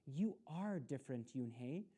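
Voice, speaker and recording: A caring but firm storybook mother, read by a woman. She instructs earnestly in a mellow, low-set voice with expressive pitch. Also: measured pace, breathy edge, heavily acted. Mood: reassuring.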